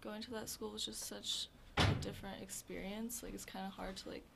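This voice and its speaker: Gravelly teen girl, full of "like". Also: medium pitch, level tone, a little fast, very noisy. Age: teens. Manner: soft-spoken, filler-heavy with frequent "like". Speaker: interview guest. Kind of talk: casual interview.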